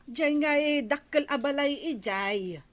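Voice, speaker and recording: A gossipy, helpful village neighbor chats in an Ilocano radio comedy drama. Her voice is slightly high and unhurried, with an Ilocano accent. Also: animated.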